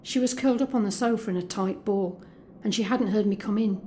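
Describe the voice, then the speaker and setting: A woman's voice: mid-range voice, fairly quick, warm tone, a little hiss or hum, close to an everyday voice. A woman playing an anxious but determined young escort in an intimate, conversational audio drama monologue. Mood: shaken, sombre. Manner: confiding, conversational.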